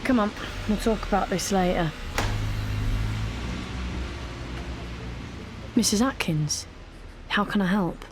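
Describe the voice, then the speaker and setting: A woman's voice: mid-range voice, moderate pace, background noise, close to an everyday voice. An actress playing a seasoned British policewoman in an audio drama, dry and informal as she mentors a newcomer. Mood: caring, calm. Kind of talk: naturalistic audio drama acting.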